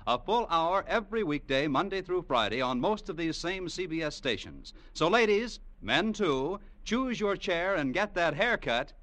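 Male announcer, 1950s radio promo, upbeat pitch, slightly high, bright tone. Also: very animated, moderate pace, hardly any pauses. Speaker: network announcer.

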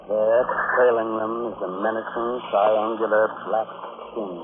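A man hosting and narrating a 1940s radio mystery with sinister, tongue-in-cheek theatricality and pointed pauses. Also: on the low side, little pitch movement, narrow, tinny audio, heavy background noise. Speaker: horror anthology host and narrator. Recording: band-limited old radio recording. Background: dramatic music.

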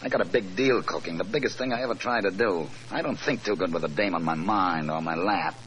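An actor playing a tough, domineering gangster boss in a 1940s radio crime drama, blunt, slangy and commanding. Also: male voice, sits low, fairly quick, close to an everyday voice. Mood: brusque, dismissive. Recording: noisy old 1940s radio recording.

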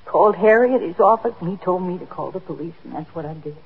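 An actress playing a worried, remorseful middle-aged housewife and daughter in a 1950s radio drama, speaking earnestly and hesitantly. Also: female voice, on the low side, expressive, fast talker, creaky, husky, full character voice. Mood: regretful, worried. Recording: dull, noisy 1950s radio recording.

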